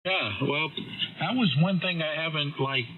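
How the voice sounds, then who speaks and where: Male ham operator, casual and inquisitive, heard through a narrow phone-like band with clear noise. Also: a little high, a little slow. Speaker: amateur radio operator. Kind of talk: casual ham radio chat. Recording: noisy, thin phone-like radio transmission.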